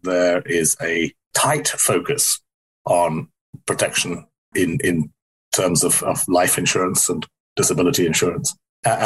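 A middle-aged man from South Africa with a softened South African-English accent, answering in an interview in a rambling, wry way that corrects itself. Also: sits low, breathy edge, poor audio. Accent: South African softened toward English. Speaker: interview guest, company founder.

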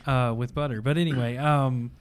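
A man's voice: mid-range voice, fairly even intonation, moderate pace, crowd noise. A man hosting a casual panel discussion, chatty and self-deprecating. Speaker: host.

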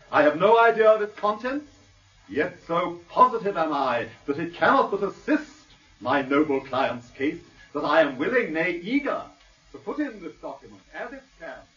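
An actor playing a suave defence barrister with an upper-class English accent, pompous, eloquent and precise in British radio drama oratory. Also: male voice, high voice, fairly bright tone, recorded from a distance, highs cut off. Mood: grand, assured.

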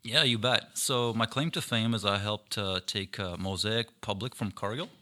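A man's voice: lowish voice, moderate pace, bright, forceful tone. A man with a Ukrainian accent, a guest chatting casually on a podcast and telling easygoing, self-deprecating stories. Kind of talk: casual chat. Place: podcast room.

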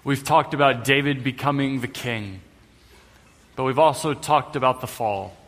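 A man's voice: mid-range voice, moderate pace, fairly bright tone. A man preaching a sermon as pastor in a conversational way with light humor, in a church sanctuary, with a long hall reverb on the recording.

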